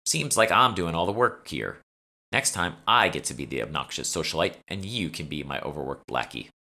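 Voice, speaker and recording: A man's voice: deep voice, expressive, fairly quick, sharp tone, exaggerated voice. A man voicing an exasperated, sarcastic woman who plays an overworked lackey in an audiobook. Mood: grumbling. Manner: sarcastic, profane.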